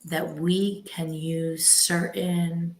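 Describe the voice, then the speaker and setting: A woman hosting a live question and answer session, asking her guest questions and thinking aloud in halting phrases. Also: lowish voice, little pitch movement, a little mellow. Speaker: host. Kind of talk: live Q&A interviewing.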